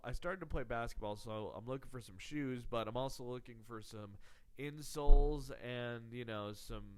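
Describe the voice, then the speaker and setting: A man's voice: medium pitch, clean tone. A man hosting his podcast in a rambling, profane, sarcastic monologue, his voice nasal and congested from illness. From Texas. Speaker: podcast host. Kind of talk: casual comedic monologue.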